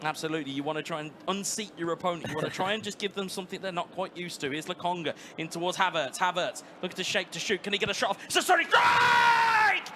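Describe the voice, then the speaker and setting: A man calling live esports football play by play, rapid and rising to shouts at big moments. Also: high-pitched, lively intonation, very noisy. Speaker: play-by-play commentator.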